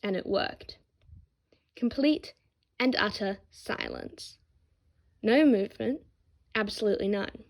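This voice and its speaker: A woman reads fan fiction aloud in a mid-pitched voice. Her narration is expressive and scripted, leaning on dramatic emphasis with a wry edge. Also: slow pace, long pauses. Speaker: narrator, amateur podfic reader.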